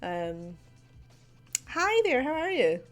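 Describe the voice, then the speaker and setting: A woman's voice: sits high, very animated, takes it slowly. Rambling Scottish woman streaming from her desk. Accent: Scottish, central belt. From Scotland. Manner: rambling and chatty, with many fillers and laughs. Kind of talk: casual livestream chat while drawing. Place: home desk. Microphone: desk microphone. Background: faint background music.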